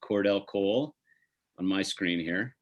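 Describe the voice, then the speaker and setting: A man's voice: sits low, measured pace. A man hosting an online panel discussion, talking in a rambling way with frequent asides. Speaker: host and artistic director.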